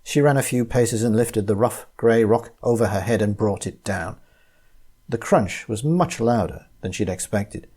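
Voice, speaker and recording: A man reading a short story aloud as its narrator, in an expressive, dramatic way. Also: fairly low pitch, normal speed, husky.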